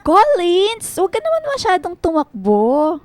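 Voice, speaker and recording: An actress playing a bubbly, teasing young aunt in a radio drama, playfully mixing in English. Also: female voice, very high-pitched, huge pitch swings, a little slow, darkish tone, no room sound, heavily acted.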